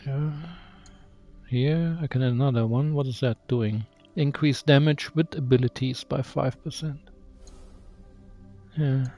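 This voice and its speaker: A man's voice: mid-range voice, mellow. A man giving casual gameplay commentary, musing aloud, reading menu text and asking himself questions. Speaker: video game player and channel host.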